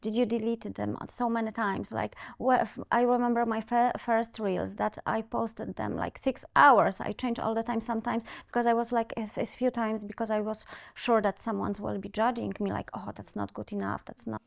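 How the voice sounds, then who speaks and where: A woman's voice: a little high, fairly even intonation, normal speed, creaky, hardly any pauses. A woman psychologist guest speaking hesitantly, with non-native English grammar. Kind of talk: casual chat.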